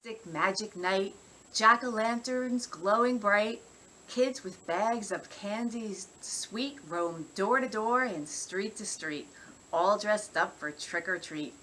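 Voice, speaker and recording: A woman reading a rhyming holiday poem in an expressive, measured storytime manner. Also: mid-range voice, slight background noise, poor audio. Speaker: library director reading a poem. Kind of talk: festive children's poetry reading.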